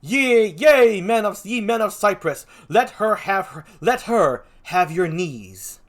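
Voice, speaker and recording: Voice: mid-range voice, expressive, slow, voice pushed up. A performer reading a gallant, courteous young officer in a formal, declamatory Shakespearean manner. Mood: rapturous. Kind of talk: amateur Shakespeare read-aloud.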